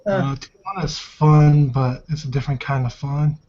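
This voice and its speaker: A man's voice: a little high, moderate pace. A man making improvised in-character conversation as a player in a roleplaying game. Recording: dull, lo-fi online voice chat.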